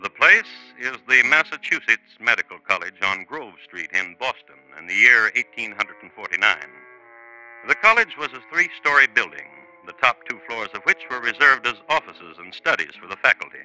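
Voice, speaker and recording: A man hosting and narrating a 1950s radio true crime show in a deliberate, formal, dramatic storytelling manner. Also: medium pitch, big pitch swings, bright, forceful tone, soft background music, rough recording. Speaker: host and narrator. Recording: vintage 1950s radio recording.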